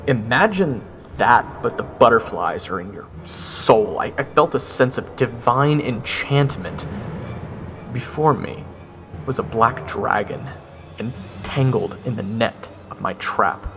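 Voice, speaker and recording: A man narrating a first-person fantasy story in a theatrical way, with dramatic pauses. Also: on the low side, vocal fry. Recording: loud music under the narration.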